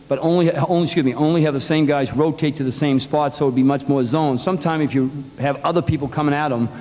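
A man's voice: on the high side, darkish tone. A middle-aged man coaching basketball speaks bluntly and with emphasis, walking through his plan point by point. He talks into a table microphone in a big press room, and a long reverb trails his words on a live TV feed. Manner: blunt, emphatic, explains plans step by step. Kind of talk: postgame press conference answers. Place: press conference room in a large arena.

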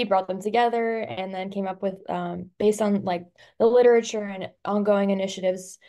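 A woman's voice: medium pitch, warm tone. A young adult woman from Albania, a student giving a webinar presentation over a video call, talking casually with plenty of "um" and "like" fillers.

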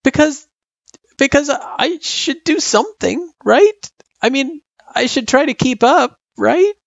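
A man's voice: extremely high pitch, sing-song, slow pace, vocal fry, no room sound, lo-fi sound, voice pushed up, heavily acted. A man voicing an anxious, pouty young girl with a hesitant, plaintive delivery in a story reading. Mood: unsure, insistent. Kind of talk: character voice in story narration.

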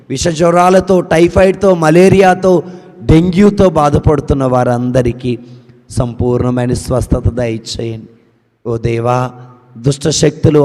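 A man leading an intercessory prayer, reciting long rhythmic lists of petitions that drop low at the end of each request. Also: darkish tone, long reverb tail. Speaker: prayer leader.

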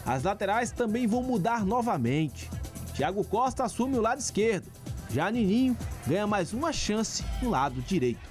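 High-pitched Brazilian male sports reporter. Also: very animated, normal speed, a little mellow, faint music behind. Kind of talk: scripted narration.

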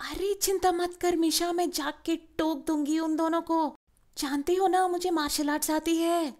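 A woman's voice: extremely high pitch, steady tone, moderate pace, a little mellow. A woman voicing a carefree, defiant young college student in a children's doll story. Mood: bold. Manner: breezy, defiant, boastful. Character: carefree, cocky young female college student, martial artist.

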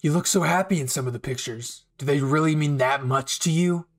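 A man's voice: on the high side, a little fast. A man playing an unhinged, bitter anime villain with a menacing, taunting manner, nursing an old betrayal in a dramatic fan roleplay. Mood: quiet, menacing. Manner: menacing, taunting, swinging from quiet to outbursts.

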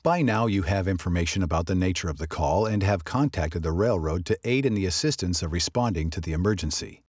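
A man reading scripted narration for a training video in a measured, instructional way. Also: low-pitched, animated, darkish tone, slightly rough, no echo, clean studio sound.

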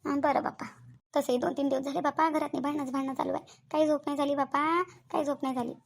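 A high, creaky-voiced middle-aged mother played by a woman from a cartoon family drama. She has a Varhadi Marathi accent and talks in a quick, plaintive stream, fretting and wheedling as she repeats her pleas. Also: fairly even intonation. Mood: weary complaining. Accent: Varhadi Marathi from Vidarbha.

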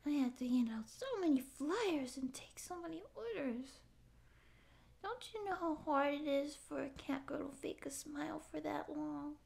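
A young woman acting a naive, pouty stray catgirl in a soft, halting ASMR roleplay voice. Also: high-pitched, creaky. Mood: plaintive, wistful. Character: naive, tearful stray catgirl would-be space pirate. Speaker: ASMR voice actor. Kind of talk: ASMR roleplay audio drama.

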